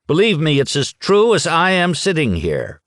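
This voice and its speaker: A man voicing a boastful, touchy old drunk who tells tall tales in a raised, lively voice. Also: on the high side, moderate pace, no echo, clean studio sound, pitched above the performer's own voice. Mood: awed. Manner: indignant, blustering storyteller.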